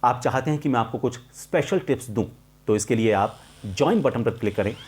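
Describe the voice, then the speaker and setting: A middle-aged man explaining a lesson to viewers as a voice coach, in a deliberate, emphatic manner with clear pauses. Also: mid-range voice, lively intonation, a little mellow. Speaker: voice-over artist and voice coach hosting a tutorial.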